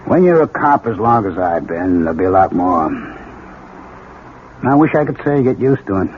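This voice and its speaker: An actor playing a weary but kindly veteran police lieutenant in a 1970s radio drama, plain-spoken and consoling. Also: male voice, low voice, big pitch swings, normal speed. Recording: phone-like, noisy old radio broadcast.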